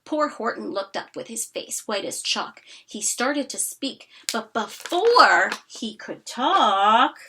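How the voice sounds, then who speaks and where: A woman's voice: on the high side, very animated, slow, few pauses, background noise. A woman reading a rhyming children's story aloud expressively and dramatically, with chatty asides.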